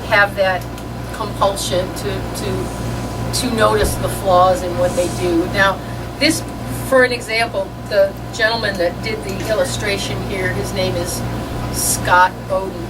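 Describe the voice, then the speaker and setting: A woman's voice: mid-range voice, fairly even intonation, measured pace. A middle-aged woman gives an informal, rambling, self-deprecating talk as an author introducing a book. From northern United States. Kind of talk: informal, anecdotal talk to an audience. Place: room at a public book reading. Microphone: far from the speaker. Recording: distant mic with loud air-conditioner-like room noise.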